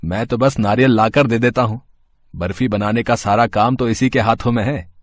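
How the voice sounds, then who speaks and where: A man's voice: mid-range voice, steady tone, normal speed, no echo, studio quality, lightly acted. A man voicing a humble, caring husband and street sweet seller in a cartoon story, earnest in manner.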